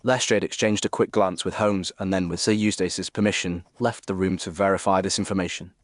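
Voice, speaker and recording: A man narrating a scripted period mystery in a formal, literary, measured style. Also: low-pitched, fairly even intonation, no room sound, clean studio sound.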